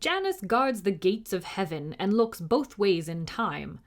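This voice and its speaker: A woman's voice: mid-range voice, sing-song, measured pace. Woman reading as a proud, formal little knight. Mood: grave storytelling. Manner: formal, old-fashioned, dignified speech. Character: proud, indignant, formal tiny knight, a grown man. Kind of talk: storybook character reading.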